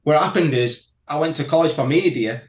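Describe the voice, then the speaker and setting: A man with a Yorkshire accent tells stories in a fairly high voice. He speaks slowly and carefully so that each word comes through. The sound is dull and lo-fi, in a room with plenty of echo. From England (Barnsley, South Yorkshire). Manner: deliberate, laughing storyteller. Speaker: guest martial artist. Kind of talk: casual interview chat. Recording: echoey room, dull lo-fi audio.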